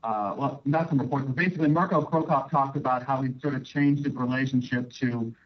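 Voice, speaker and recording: A man's voice: medium pitch, a little fast. A man working as a sports reporter, asking casual, hesitant and rambling questions over a conference call. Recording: dull lo-fi conference call line.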